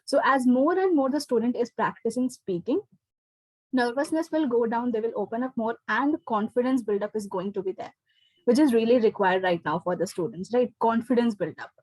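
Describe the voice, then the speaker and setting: Woman, Indian accent, teacher, slightly high pitch, husky edge, dry close sound, explanatory, frequent check-in tags. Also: a little fast, a little mellow, no room sound, poor audio. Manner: frequent "okay" and "right?" check-ins. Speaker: teacher giving feedback to a parent. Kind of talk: explanatory talk on a video call. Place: indoors, online class video call.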